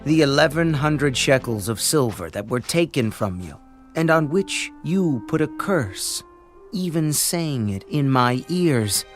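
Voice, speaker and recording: A man's voice: mid-range voice, animated, unhurried, soft background music, natural voice. A man playing a penitent son in a dramatized Bible recording, speaking earnestly. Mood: guilty confession. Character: confessing son returning his mother's stolen silver. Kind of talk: dramatized Bible audio acting.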